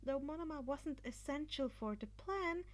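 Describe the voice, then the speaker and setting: A woman's voice: high-pitched, animated, normal speed. A woman narrating fan fiction as an amateur audiobook-style reader, telling a scripted story.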